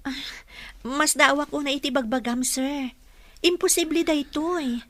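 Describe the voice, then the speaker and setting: Radio drama role: hesitant, polite young countrywoman actress, high-pitched, close dry sound. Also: normal speed, no echo, natural voice. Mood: bewildered. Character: humble, hesitant young provincial woman employee, deferential. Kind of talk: Ilocano radio drama acting.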